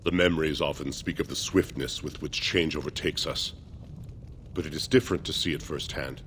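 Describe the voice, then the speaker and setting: An actor playing a dignified older male dwarf archivist in a fantasy video game, speaking gravely and formally. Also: extremely low pitch, fairly even intonation, a little fast, a bit bright, a little hiss or hum. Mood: solemn. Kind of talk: video game character acting.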